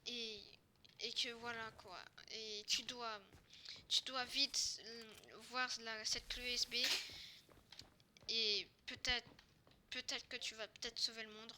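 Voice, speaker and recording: A woman playing a young survivor who solemnly reads out a father's letter in an amateur drama, slow and halting. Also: a little high, level tone, background noise. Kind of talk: improvised amateur reading aloud.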